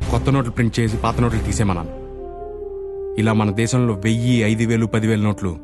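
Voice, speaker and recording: An actor playing a calm, sardonic kidnapper in a film drama, reasoning with deadpan, mock-polite courtesy. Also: male voice, on the low side, close to an everyday voice. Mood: insistent, reasoning. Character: calm, sardonic male everyman kidnapper making demands. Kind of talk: Indian film drama dialogue. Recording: film soundtrack with faint music.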